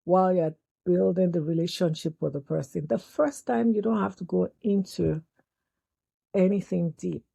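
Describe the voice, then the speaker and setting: A Nigerian woman with a Nigerian accent, a podcast guest calmly explaining her work with young people. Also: lowish voice, normal speed, gravelly, slightly rough, no echo. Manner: calm, explanatory, eases into points with fillers. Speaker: guest, youth mental health foundation founder. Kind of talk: casual interview conversation.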